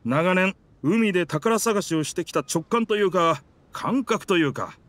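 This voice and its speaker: A man's voice: sits high, lively intonation, a little slow, a bit bright, no echo. A man voicing a proud talking pirate ship in a video game, with boastful, theatrical, old-fashioned speech. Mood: confident, persuasive. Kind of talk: Japanese video game character dialogue.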